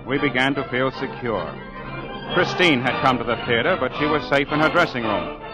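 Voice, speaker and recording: An actor playing an earnest young man who narrates a mystery in a measured, dramatic 1940s radio drama style. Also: mid-range voice, natural voice, rough recording. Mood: suspenseful. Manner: measured, dramatic storytelling delivery. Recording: 1940s radio broadcast with background music.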